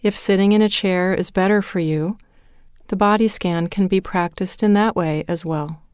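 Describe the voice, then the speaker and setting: A woman leading a guided meditation in a calm, measured way, with deliberate pauses between phrases. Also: mid-range voice, smooth, no echo, clean studio sound. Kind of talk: guided meditation, scripted narration.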